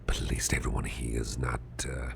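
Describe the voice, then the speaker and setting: An extremely low, crackling growl of a big businessman boss acted by a man in an ASMR roleplay. He murmurs in a breathy half-whisper, slowly and haltingly, gentle but fretful. There is clear noise behind the voice. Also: level tone, full character voice. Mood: musing, wary. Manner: halting, murmured, soothing, with repeated phrases.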